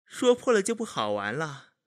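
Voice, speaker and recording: A man playing a haughty, jealous young gentleman of ancient China in a period audio drama, with mocking, theatrical emphasis. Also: fairly high pitch, big pitch swings, moderate pace. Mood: taunting, smug.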